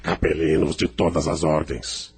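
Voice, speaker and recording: A man's voice: rumbling low, little pitch movement, measured pace, background noise. An actor playing a defiant, grandiose rebel leader in an audio drama, declaiming pompously like an orator to his followers. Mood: commanding. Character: Azur, defiant rebel leader rallying crowds, grandiose and menacing.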